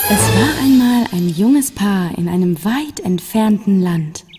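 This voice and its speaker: A woman reads a scripted fairy tale for children at a medium pitch. The recording has long reverb and clear music behind her. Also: lively intonation, a little slow. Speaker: storyteller.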